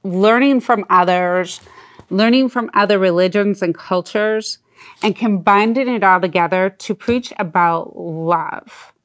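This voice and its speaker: A middle-aged woman hosting an interview, speaking in a slow, appreciative way. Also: mid-range voice. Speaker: host.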